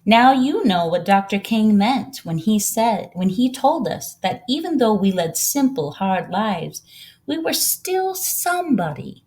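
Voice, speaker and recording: A gentle older woman from a storybook , acted out by a female reader, recalls her community with quiet pride. She speaks earnestly and takes her time, like someone sharing a memory. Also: mid-range voice, very animated, measured pace. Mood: warm, earnest.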